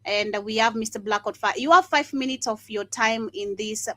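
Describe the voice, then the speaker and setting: A woman's voice: on the high side, moderate pace, fairly bright tone, no echo. A woman hosting a casual commentary broadcast, scornful and emphatic, laughing now and then. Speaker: host.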